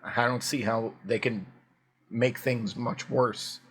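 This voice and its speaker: A man hosting a casual video reaction, his voice hoarse and prone to cracking, talking in a chatty, rambling way with plenty of fillers. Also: medium pitch, steady tone, takes it slowly. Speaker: YouTube reaction host.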